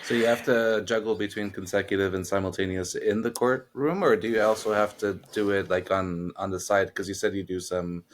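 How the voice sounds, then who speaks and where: Low-voiced male co-host, curious and loose, pausing mid-question. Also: steady tone, slight background noise. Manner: curious, rambling questions with "um" pauses. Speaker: podcast co-host. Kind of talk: interview questions.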